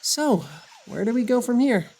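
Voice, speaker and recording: Young boy traveller, gentle and wide-eyed, animated intonation, for audio drama female actor. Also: on the high side, measured pace, gravelly, husky, exaggerated voice. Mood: calm, friendly.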